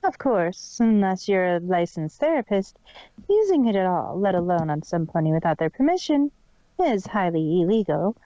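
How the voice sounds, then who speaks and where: A woman's voice: on the low side, very animated, normal speed, warm tone, no room sound, muffled recording. A woman voicing a smug, scheming female pony villain in a fan fiction reading, boastful and matter-of-fact. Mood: coolly menacing. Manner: matter-of-fact, self-satisfied.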